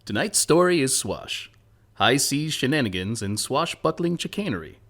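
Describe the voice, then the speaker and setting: A man's voice: mid-range voice, big pitch swings, normal speed, fairly bright tone, faint music behind. A man narrating as the announcer of a radio play in a theatrical old-time style.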